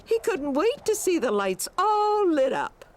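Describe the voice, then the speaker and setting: A woman narrating a children's cartoon as a gentle storyteller, with music behind her. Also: extremely high pitch, very animated, measured pace, exaggerated voice. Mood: storytelling. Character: gentle female storyteller narrating a small boy's day.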